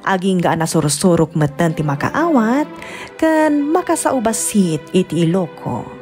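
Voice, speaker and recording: A woman playing a letter writer near fifty, narrating her life in a measured, reflective Filipino-accented radio drama voice. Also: fairly low pitch, sing-song, a little mellow, soft background music.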